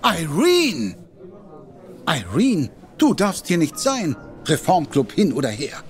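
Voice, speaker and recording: An actor playing a proud, protective detective who speaks emphatically and with indignation. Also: male voice, a little high, huge pitch swings, slow pace, faint music behind, full character voice. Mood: indignant, lively. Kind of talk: German audio drama acting. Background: faint background score.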